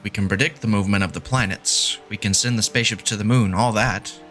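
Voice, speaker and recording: Guy playing a smug doomy math genius in an audio drama, lowish punchy voice, lectures emphatically, music underneath. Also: steady tone, fast, fairly bright tone, natural voice. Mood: lecturing.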